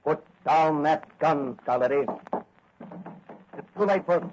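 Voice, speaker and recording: An actor in a 1940s radio serial playing a righteous young rookie cop who fights crime in a mask. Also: male voice, on the high side, takes it slowly, a little mellow, slight background noise. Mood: stern, accusing. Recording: dull, lo-fi old radio recording.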